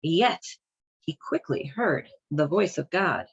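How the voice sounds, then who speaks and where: A woman's voice: deep voice, animated, takes it slowly, vocal fry, slightly rough, lo-fi sound. A woman hosting a casual read-along and commentary, speaking in an informal, wry, irreverent way. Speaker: host reading and commenting on a children's Bible.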